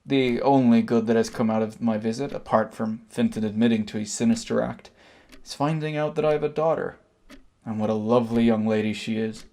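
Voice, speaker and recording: An Irish man acting a composed, sardonic middle-aged emigrant in a stage drama, speaking in measured, formal phrases. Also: mid-range voice, steady tone, a little mellow, lightly acted. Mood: dry farewell.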